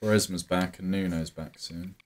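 A man's voice: low voice, steady tone, moderate pace, crowd noise. A man in his thirties co-hosting a casual gaming podcast from a small home room, laid-back, with short agreeing replies. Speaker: podcast co-host.

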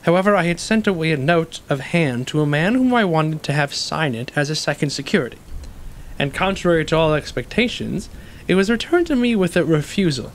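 Man playing anxious educated ex-convict, highish male voice, stammering confessions, self-justifying, stage drama, rain-like noise behind. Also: expressive. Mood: confessional, uneasy. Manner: halting, stammering, earnest confessional delivery. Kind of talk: stage play acting. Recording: clearly noisy, rain-like ambience behind.

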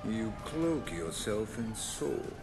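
A sly sorcerer from a video game, talks haughtily in a low voice that swoops widely. Loud music plays behind him. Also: male voice, sing-song, slow pace, exaggerated voice. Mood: haughty. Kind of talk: video game character acting.

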